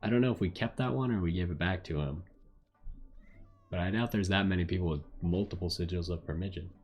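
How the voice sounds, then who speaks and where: A man's voice: low-pitched, fast talker, a little mellow, slightly rough. A man doing casual video game commentary and reading on-screen text aloud, muttering asides and stumbling over words.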